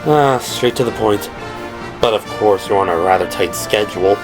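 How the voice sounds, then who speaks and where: A man playing a suave, mysterious man in his forties with a low, musical voice and an unhurried, courtly, cryptic way of talking in an audio drama. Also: music in the background, lightly acted. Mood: amused, courteous. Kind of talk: audio drama character dialogue.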